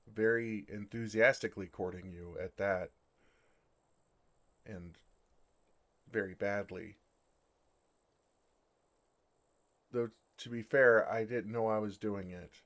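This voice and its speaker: A man playing a bashful, lovestruck male dragon in human form for an ASMR fantasy roleplay, speaking in a halting, earnest way. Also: lowish voice, fairly bright tone. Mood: sheepish. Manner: flustered, halting, earnest delivery.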